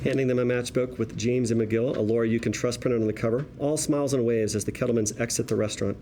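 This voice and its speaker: A man's voice: medium pitch, fairly even intonation, fast talker, warm tone, a little hiss or hum. A man reading stage directions as scripted narration at a table read, in vivid present-tense storytelling.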